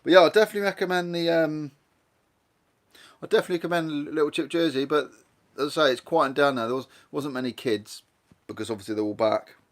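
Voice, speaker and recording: A man's voice: a little high, quick pace. A man with a Guernsey accent hosting a casual live stream, rambling along and reading viewer comments aloud as he chats. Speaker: livestream host.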